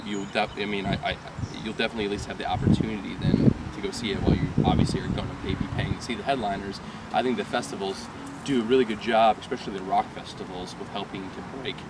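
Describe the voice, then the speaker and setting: A young man from Michigan with a lowish voice tells loose, rambling stories, laughing and restarting as he goes. He stands a little off from the mic, and loud street traffic roars around him outdoors. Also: recorded from a distance. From Michigan, USA. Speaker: rock band singer. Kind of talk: casual interview chat.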